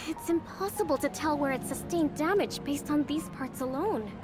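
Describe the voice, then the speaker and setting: A courteous young servant from an anime video game. Her voice is high and very melodic, with a gentle, earnest and deferential manner. Music plays underneath. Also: lively intonation, normal speed. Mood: puzzled, careful. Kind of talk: video game character acting. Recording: music behind the voice.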